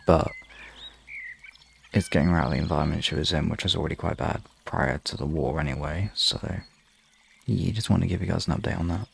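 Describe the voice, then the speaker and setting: A man with a gravelly, husky voice chatting casually to live-stream listeners in an informal, halting way with some swearing. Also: low voice, a little mellow, slight background noise.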